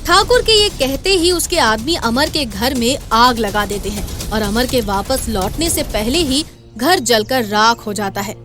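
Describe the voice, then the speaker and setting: A woman's voice: on the high side, lively intonation, measured pace, fairly bright tone. A woman telling a dramatic scripted tale as its narrator. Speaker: storyteller. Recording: clear background music under voice.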